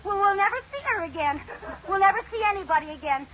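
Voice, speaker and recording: An actress playing a scatterbrained, wisecracking wife in an old radio sitcom, with theatrical wailing and dry quips. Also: female voice, very high voice, fast talker, a bit bright. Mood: hysterical, whiny. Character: scatterbrained, wisecracking wife, comically dramatic and tearful. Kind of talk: 1940s-50s radio sitcom acting. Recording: noisy, muffled old-time radio recording.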